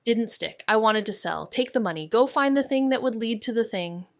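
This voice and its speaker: A woman's voice: fairly high pitch, expressive, moderate pace, no room sound. Young woman sleuth in an audio drama, wry n guarded but sincere, understated, very dry sound. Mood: earnest, weary. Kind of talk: naturalistic audio drama dialogue.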